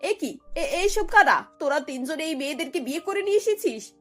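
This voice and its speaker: A woman's voice: high-pitched, expressive, fairly quick, fairly bright tone, soft background music. A woman voicing a snobbish, bossy middle-aged mother-in-law in a cartoon, scornful and dropping English words into her talk. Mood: outraged. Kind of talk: Bengali cartoon voice acting.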